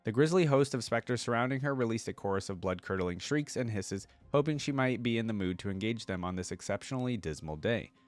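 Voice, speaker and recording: A man's voice: lowish voice, expressive, fairly quick, few pauses, no echo, soft background music. A man reading a story aloud as a video host and reacting to it with informal, casual commentary.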